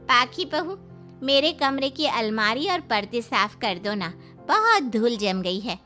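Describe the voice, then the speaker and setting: A woman plays a scheming old mother-in-law in a cartoon tale, her high voice swooping widely over faint music. She is haughty and sharp-tongued, turning theatrical and self-pitying to get her way. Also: very animated, a little slow, full character voice. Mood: self-pitying, pushy. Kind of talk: animated moral-story dialogue.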